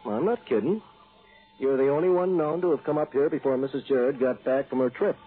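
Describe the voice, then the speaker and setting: An actor playing a plain-spoken, seasoned homicide sergeant in a 1960s radio drama, gruffly friendly and colloquial. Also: male voice, medium pitch, fairly quick, lightly acted. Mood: businesslike, serious. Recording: muffled old radio broadcast, phone-like band.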